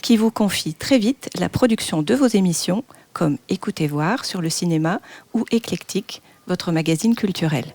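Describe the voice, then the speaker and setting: A woman's voice: lowish voice, animated, moderate pace. Woman host reading a podcast intro. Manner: reads prepared text, warm laughs between lines. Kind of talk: scripted podcast intro and interview.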